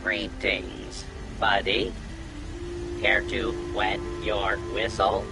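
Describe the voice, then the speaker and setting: A man's voice: on the low side, completely flat delivery, extremely slow, creaky, over loud music, heavily acted. An actor voicing a jolly male robot bartender in a game who offers drinks and tells corny jokes in folksy patter. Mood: cheery.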